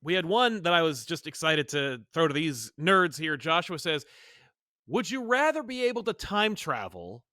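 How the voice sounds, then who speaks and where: Animated, high-pitched man hosting a podcast. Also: moderate pace, dry recording, clean studio sound. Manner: animated, joking, theatrical when quoting. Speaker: podcast host. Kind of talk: casual chat.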